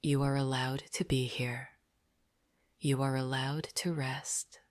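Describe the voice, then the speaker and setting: A woman narrating a scripted sleep meditation in a soft, breathy near-whisper, gentle and deliberate. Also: rumbling low, level tone, no echo, clean studio sound.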